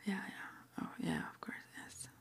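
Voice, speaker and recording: A woman whispering breathily in an ASMR roleplay, gently and hesitantly asking questions like an interviewer. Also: a little slow. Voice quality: breathy whisper, mostly unvoiced.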